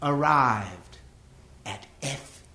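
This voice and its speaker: A man playing a cultured, menacing serial killer in a radio comedy parody, sneering and taunting with deliberate condescension. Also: medium pitch, expressive, sharp tone, exaggerated voice. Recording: echoey room.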